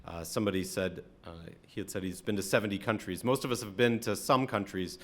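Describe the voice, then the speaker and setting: A man's voice: on the low side, brisk, fairly bright tone. American man, a rabbi speaking reflectively. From Atlanta, American South. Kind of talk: sermon, conversational talk to congregation.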